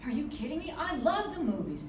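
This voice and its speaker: A woman author reading aloud from her novel to a live audience, giving the dialogue a lively touch. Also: sits high, brisk. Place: conference room. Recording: roomy, distant with background noise.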